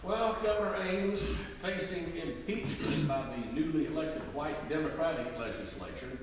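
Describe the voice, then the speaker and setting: A man narrating history from a script in a formal storytelling manner. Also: mid-range voice. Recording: very echoey hall, distant, background noise.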